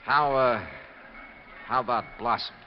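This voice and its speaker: An actor playing a sharp, persistent insurance investigator in 1950s American radio drama, with brisk, clipped, wry delivery. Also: male voice, medium pitch, soft background music, lightly acted. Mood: probing. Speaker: lead actor narrating and playing detective. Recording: muffled old radio recording with noise.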